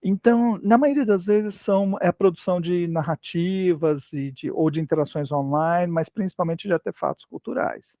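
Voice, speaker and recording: A man's voice: high-pitched, moderate pace, warm tone, dry recording, studio quality. A middle-aged man explaining language teaching methods in a casual academic talk, hesitant and restarting often, on a video call.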